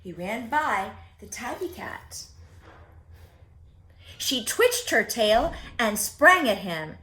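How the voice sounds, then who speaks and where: A woman reading a picture book aloud for preschoolers, expressive and speaking directly to her young listeners. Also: fairly high pitch, slow pace. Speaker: children's story reader.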